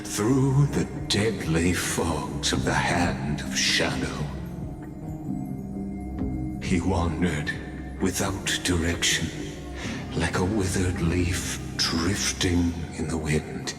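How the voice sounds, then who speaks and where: Very deep, slow epic storyteller. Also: male voice. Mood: solemn, grand. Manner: grave, measured, theatrical. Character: solemn male storyteller of an epic legend. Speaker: narrator. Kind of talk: scripted epic narration. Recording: long hall reverb, music behind.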